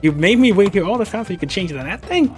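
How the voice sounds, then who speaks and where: A man's voice: a little high, takes it slowly, soft background music. A man voicing a desperate, defiant young hero in a fantasy story, pleading and emotional.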